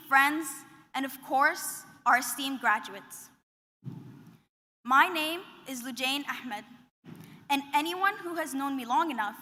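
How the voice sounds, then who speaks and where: High, bright young woman in a hall. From Qatar. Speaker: student commencement speaker. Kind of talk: prepared graduation speech. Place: large commencement hall. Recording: long reverb of a big hall.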